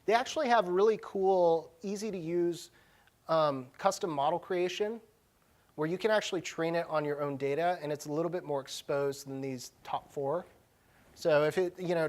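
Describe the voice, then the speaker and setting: This is a man with a fairly high-pitched voice, giving a live software demonstration as a conference presenter. He talks casually and uses slang freely, more like chatting with colleagues than lecturing. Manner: informal, slangy. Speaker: conference presenter, technology director. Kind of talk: casual live tech demo talk. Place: live conference session room.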